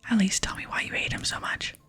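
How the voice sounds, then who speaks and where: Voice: fast talker, pushed and bright, soft background music, lightly acted. A person voicing a polite, pleading and slightly cheeky video game adventurer in a whisper. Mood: hesitant, pleading.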